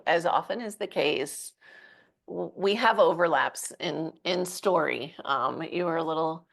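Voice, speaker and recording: A woman's voice: low voice, vocal fry, a bit bright. A woman hosting a podcast, introducing her guest and chatting, often laughing along and adding short replies. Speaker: podcast host. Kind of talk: podcast host intro and interview chat.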